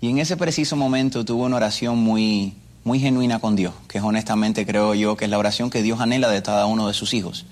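A man with a Cuban Spanish accent tells his life story as a guest on a religious show. His voice is on the low side and steady, and he talks earnestly, circling back and repeating phrases as he goes. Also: brisk, few pauses. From Cuba. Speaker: interview guest. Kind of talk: personal testimony.

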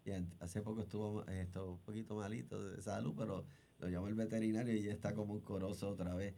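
A man hosting a radio talk show, chatty and quick to interject with questions. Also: fairly low pitch, level tone, vocal fry, warm tone. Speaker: radio host.

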